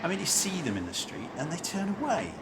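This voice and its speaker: A man's voice: high voice, sing-song, fast, music in the background. A man playing a brusque, chatty city banker in an amateur radio play, grumbling and asking blunt questions. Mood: defensive, cynical.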